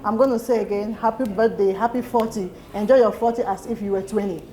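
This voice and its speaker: A woman's voice: mid-range voice, fairly even intonation, quick pace. A woman giving a heartfelt birthday toast, earnest and laughing between phrases. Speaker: party guest giving a toast. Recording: crowd noise at a party.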